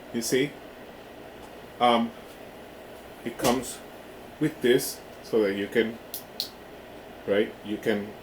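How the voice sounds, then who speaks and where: Guy doing a casual unboxing review, lowish voice, stop and start, roomy echo. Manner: halting, often checking in with "right?". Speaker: product reviewer. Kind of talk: casual hands-on unboxing demonstration. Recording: roomy echo with clear background noise.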